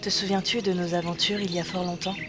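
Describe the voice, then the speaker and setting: A woman's voice: fairly low pitch, flat delivery, fast, noisy background, close to an everyday voice. A woman playing a composed, nostalgic immortal in a fantasy audio drama, with formal, poised speech. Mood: warm nostalgia. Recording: loud music behind the voice.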